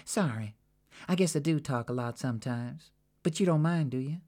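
A man voicing a brash, loud-mouthed woman with a faint Texan accent, blunt and nosy, swearing freely. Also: mid-range voice, normal speed, pitched above the performer's own voice, close to an everyday voice.

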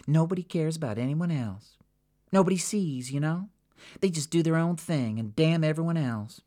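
A loud-mouthed Texan woman, as a man puts her on, firing off blunt, nosy questions. Her voice sits high and bounces around a lot. She swears without a second thought. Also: expressive, moderate pace, close to an everyday voice. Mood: brash. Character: brash loud-mouthed woman, Texan living in a big city.

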